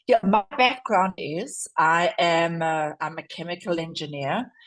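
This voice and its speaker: South African woman panelist, lowish voice, deliberate slow delivery. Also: a bit bright. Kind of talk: panel discussion answer.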